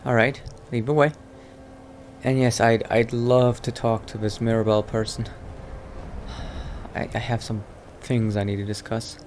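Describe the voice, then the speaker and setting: Halting, muttering male vampire adventurer, played by a man. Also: mid-range voice, flat delivery, a little mellow, slight background noise, natural voice. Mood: musing, polite. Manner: halting, muttering, repeats himself. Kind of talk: improvised video game roleplay.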